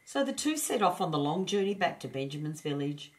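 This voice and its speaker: A woman's voice: low voice, very animated, fairly quick. A woman storyteller narrating a children's storybook.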